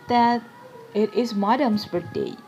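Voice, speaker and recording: A woman's voice: fairly high pitch, slow, mellow, highs cut off, soft background music. A woman acting a shy, eager young man in a stage play, polite, deferential and hesitant. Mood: shy, apologetic.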